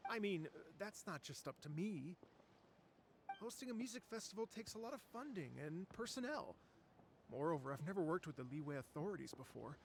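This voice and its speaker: A man voicing a cautious, formal, exacting musician and organizer in a game cutscene, measured, hesitant and creaky. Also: high voice, animated, slight background noise. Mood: hesitant, doubtful.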